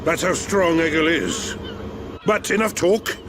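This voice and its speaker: A man acting a kindly, ancient machine-race village chief in a video game, with a measured, theatrical storytelling manner. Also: a little high, noisy background, natural voice. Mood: calm, welcoming. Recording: game audio with music bed.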